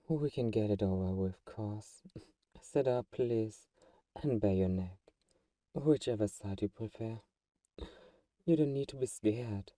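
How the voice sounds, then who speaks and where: A man playing a possessive vampire who is gentle, coaxing and condescendingly protective, in a soft-spoken, intimate ASMR roleplay. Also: lowish voice, animated, takes it slowly, pauses often, dry recording, distant mic. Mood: soothing, coaxing.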